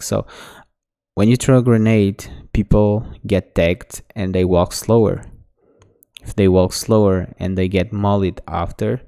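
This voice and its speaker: A man's voice: sits low, gravelly, mellow, clean tone. A man whose first language is Portuguese, coaching players through a recorded match in casual, instructive commentary. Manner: instructive, talks the viewer through mistakes. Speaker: game coach. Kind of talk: casual demo review commentary.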